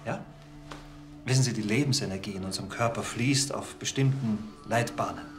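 An actor playing a naturopath in a TV crime comedy, chatting and explaining in an unhurried way. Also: male voice, fairly low pitch, music in the background, lightly acted. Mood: soothing, breezy. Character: breezy, soothing male naturopath treating a patient. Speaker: alternative practitioner.

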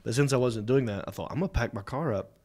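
A man's voice: medium pitch, quick pace. A man, hosting a podcast in a studio and telling stories in casual, teasing chat. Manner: animated storytelling, teasing. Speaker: podcast host. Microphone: studio mic on boom.

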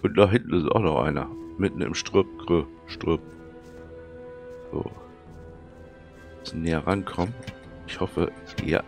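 A man with a cold and a hoarse, thick voice, casually commenting on his gameplay and muttering to himself as he plays. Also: sits low, measured pace, pauses often, darkish tone, faint music behind. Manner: chatty, muttering to himself while playing. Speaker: let's play commentator.

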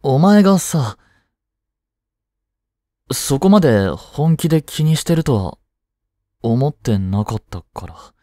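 A young man playing a teasing but tender schoolboy boyfriend in a voice drama, speaking casually and softly with hesitant pauses. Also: medium pitch, very animated, no room sound, studio quality. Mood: apologetic. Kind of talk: Japanese boyfriend voice drama, intimate one-sided dialogue.

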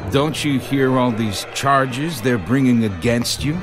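An actor playing an authoritative, exasperated Roman governor, declaiming to a crowd in a dramatized audio Bible. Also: male voice, mid-range voice, measured pace, lightly acted. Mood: stern, questioning. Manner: loud, declamatory questioning of a crowd. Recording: crowd and music behind the voice.

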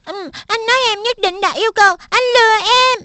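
A woman voicing a small, weak little boy who is sulky and trusting, speaking softly and whinily in a cartoon dub. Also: extremely high pitch, fairly quick, noisy background. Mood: whiny. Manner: whiny, soft.

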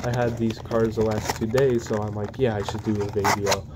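Young man, stuffy from a cold, flat and halting home-video delivery, noticeable background noise. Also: on the low side. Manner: hesitant, many ums and restarts. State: congested from a cold. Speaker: diecast collector reviewing model cars. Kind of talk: casual unboxing vlog. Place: indoors at home, plain wall. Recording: noisy home recording.

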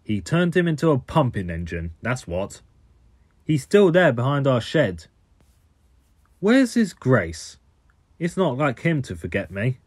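A man's voice: medium pitch, sing-song, unhurried, long pauses, husky, dry recording, studio quality, pitched above the performer's own voice. A haughty old steam engine from a children's cartoon, acted by a man. He grumbles and scolds in an indignant, crotchety way, like an elder lecturing the young. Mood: grumpy, scolding. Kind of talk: amateur cartoon character voice reel.

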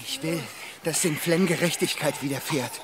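An actor playing a headstrong, indignant geologist on an alien planet in an audio drama, blunt, clipped and urgent. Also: male voice, on the high side, normal speed, fairly bright tone, a little hiss or hum, close to an everyday voice.

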